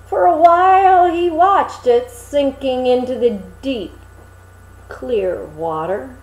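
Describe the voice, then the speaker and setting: A woman reading a fairy tale aloud in an expressive, dramatic storytelling manner. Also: sits high, echoey room, poor audio. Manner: animated, dramatic, deliberate phrasing. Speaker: storybook reader.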